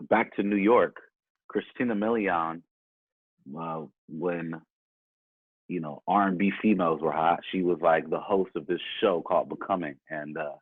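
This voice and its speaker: Low-voiced man on an echoey phone-like line. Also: slightly rough. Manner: rambling, slangy, conversational. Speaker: podcast guest, music performer. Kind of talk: casual chat, telling career stories. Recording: thin phone-like call, roomy echo.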